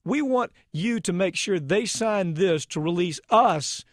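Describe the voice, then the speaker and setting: A man's voice: high voice, expressive, a little slow, dry recording, clean studio sound. An American man hosting a radio talk show, speaking emphatically and indignantly with rhetorical questions.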